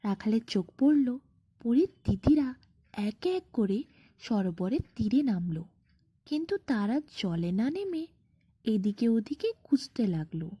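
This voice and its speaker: A woman narrating a scripted bedtime fairy tale as a storyteller, in an expressive, unhurried manner. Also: fairly high pitch, breathy edge, no echo.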